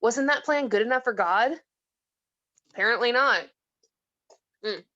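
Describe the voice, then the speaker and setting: A woman's voice: on the high side, measured pace, sharp tone, muffled recording. A woman acting a tipsy, disillusioned woman who rambles and giggles in a read-through of a film script. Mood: wistful, bitter. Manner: rambling, slightly slurred, giggly.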